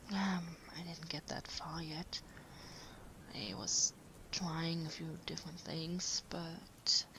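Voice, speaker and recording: Caring late-night writer partner played by a woman, soft and breathy with some creak, slow hesitant murmur, sleep-aid audio roleplay. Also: fairly low pitch, background noise, heavily acted. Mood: quietly musing. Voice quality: breathy, half-whispered. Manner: gentle, hesitant, unhurried murmuring.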